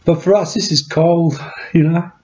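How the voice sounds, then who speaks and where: A middle-aged man with a fairly high voice, nursing a cold, chatting loosely to a webcam. He stumbles and repeats words as he explains. The sound is dull and lo-fi, recorded in a small cluttered workshop room. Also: normal speed. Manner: chatty, repeats words, animated hand gestures. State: has a cold. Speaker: tech vlogger. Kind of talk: casual rambling explanation to camera. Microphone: webcam at desk.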